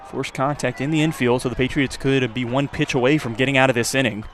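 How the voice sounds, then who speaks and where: A man giving live play-by-play baseball commentary for radio, his voice lifting on the action and settling between pitches. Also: steady tone, quick pace, fairly bright tone. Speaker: play-by-play announcer. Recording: clear outdoor ambient noise. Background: outdoor ballpark ambience.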